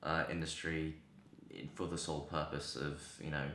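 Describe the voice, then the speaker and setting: Very deep-voiced man interviewing, roomy sound. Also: level tone, normal speed, sharp tone, a little hiss or hum. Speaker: podcast host, interviewer. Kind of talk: casual interview questioning.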